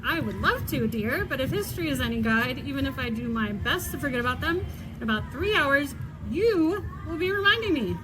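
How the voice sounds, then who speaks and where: A sardonic wife in her forties, acted by a woman in a stage comedy. Her high voice swings widely as she teases and scolds with dry exasperation. Clear noise runs through the live theatre recording. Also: animated, brisk. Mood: scolding, sardonic. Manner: dry, teasing, pointed.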